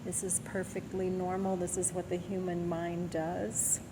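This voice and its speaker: A woman leading a guided body scan meditation in a gentle, soothing manner, leaving long pauses between phrases. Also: fairly low pitch, level tone, background noise.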